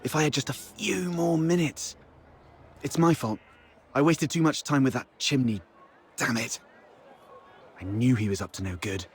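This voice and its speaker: A man voicing a calm, shrewd, cautious ally in a video game, speaking in a measured, reflective way. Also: mid-range voice, a little hiss or hum, lightly acted. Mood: grave, frustrated.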